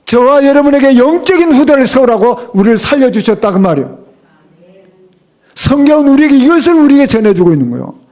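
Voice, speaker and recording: A man's voice: extremely high pitch, very animated, quick pace, a little mellow. A middle-aged man preaching a sermon as a pastor, speaking emphatically and asking the congregation rhetorical questions. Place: church sanctuary.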